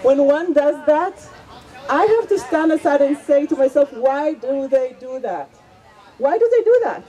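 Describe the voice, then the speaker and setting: Earnest woman with a high voice. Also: animated, measured pace, mellow, some chatter behind, low-quality recording. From Israel. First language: Hebrew. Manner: earnest, emphatic, repeats points for weight. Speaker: activist. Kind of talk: impassioned street debate speech.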